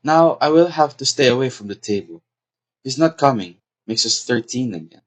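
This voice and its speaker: A woman's voice: very deep voice, expressive, normal speed. A woman playing a hostess's timid, dutiful young daughter in a comic stage play, meek and reasonable.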